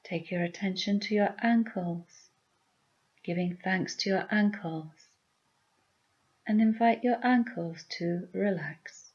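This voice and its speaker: A woman leading a guided meditation with gentle, soothing, deliberate instructions. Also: medium pitch, muffled recording.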